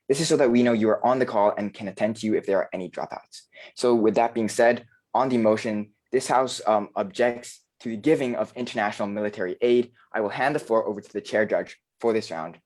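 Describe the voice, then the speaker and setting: A man's voice: fairly low pitch, a little mellow. A man acting as moderator of an online debate, giving orderly procedural announcements with frequent fillers, over a video call.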